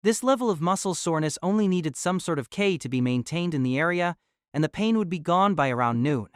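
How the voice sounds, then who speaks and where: A man narrating a novel in an audio drama, reading in an even, measured way. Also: a little high, clear, resonant tone, no room sound, clean studio sound.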